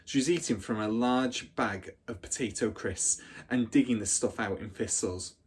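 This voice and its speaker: A man reading a children's story aloud in expressive narration. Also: on the low side, moderate pace, husky. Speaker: storybook reader.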